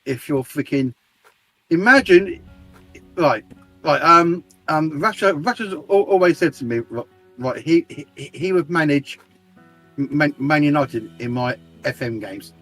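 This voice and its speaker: A man's voice: fairly high pitch, a little slow, sharp tone, soft background music. A man streaming a game, chatting casually with friends in a rambling, stammering way and swearing often. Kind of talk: casual voice chat while gaming.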